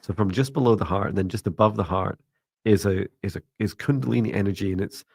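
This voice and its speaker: A man with a low, deep voice that stays on an even tone as he talks slowly through a psychic reading. He is a livestream host with a meditative, rambling manner and plenty of "um"s. His voice sounds dry and close to the mic. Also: vocal fry, no echo. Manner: slow, meditative, rambling with fillers.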